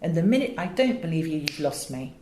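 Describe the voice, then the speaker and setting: Interview guest: British woman, lower register, articulate and emphatic storyteller, roomy recording. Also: big pitch swings, a little fast, darkish tone. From England. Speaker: interview guest, audio director and producer. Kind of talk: animated conversational storytelling in an interview. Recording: roomy, echoing interview sound.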